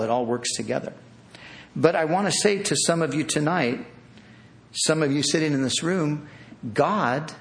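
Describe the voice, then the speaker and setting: A pastor preaching a sermon to a room of listeners, earnest and pleading, with repeated phrases and restarts. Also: male voice, a little high, gravelly. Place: church hall with an audience.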